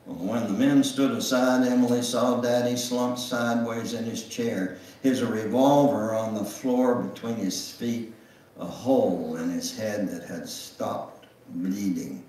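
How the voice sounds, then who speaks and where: The recording is very reverberant and a little distant, as in a church. An older man reads a memoir dramatically. His delivery is slow and deliberate, at a middling pitch. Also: a little mellow. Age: older, 70s or above. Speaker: guest speaker reading his memoir. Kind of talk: dramatic memoir reading to a congregation. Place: church sanctuary, standing at music stand. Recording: echoey church room, distant microphone.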